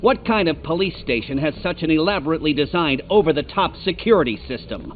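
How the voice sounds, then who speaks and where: Game trickster villain, male actor as a boastful riddle fanatic: highish, lively sneer, muffled capture with faint noise. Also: brisk, music in the background, lightly acted. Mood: amused, scornful. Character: cocky, mocking male riddle-loving supervillain trickster. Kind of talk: video game villain voice acting.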